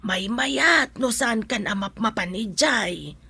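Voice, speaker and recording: A woman's voice: fairly high pitch, animated, slow pace, creaky, sharp tone, slightly rough, dry recording, full character voice. A woman with an Ilocano accent playing a protective, worried mother of a grown child in a radio drama.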